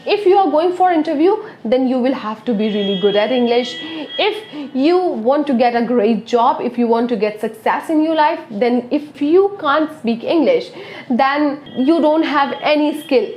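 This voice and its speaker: Indian-accented woman teacher, Hindi native, high-ish voice, coaxing and earnest, echoey room. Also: animated, normal speed, darkish tone, a little hiss or hum. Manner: earnest, coaxing, frequent "okay?" checks. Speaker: English teacher. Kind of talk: motivational video lesson.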